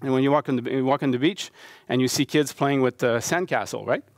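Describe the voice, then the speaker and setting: A man's voice: mid-range voice, a little fast. A middle-aged man from Quebec City with a French accent, giving a conference talk in a university lecture hall. He speaks earnestly, with many fillers and restarts. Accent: Québécois French. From Quebec City, Canada. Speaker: conference speaker, baseball coach and professor.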